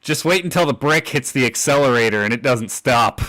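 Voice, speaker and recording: A young man in his twenties with a fairly deep voice, chatting casually as a podcast host. His pitch swings widely as he teases the others, and his tone is bright and forceful. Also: animated, normal speed. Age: 20s. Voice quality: relatively deep voice. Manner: teasing, laughs often. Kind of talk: casual chat.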